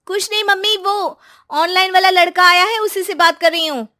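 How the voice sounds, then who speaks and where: A woman voicing a young daughter-in-law in a family drama, dutiful, brisk and assertive. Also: very high-pitched, steady tone, sharp tone, voice pushed up.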